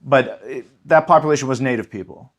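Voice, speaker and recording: A man, a history professor, speaking as a podcast guest in a thoughtful, measured way with frequent ums and small restarts. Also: medium pitch. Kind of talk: conversational podcast interview.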